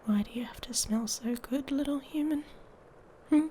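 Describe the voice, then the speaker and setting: A woman playing an irritated, haughty female werewolf in an intimate ASMR roleplay, breathy and often whispering, taunting and seductive. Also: high voice, mellow, a little hiss or hum, natural voice. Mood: seductive, daring.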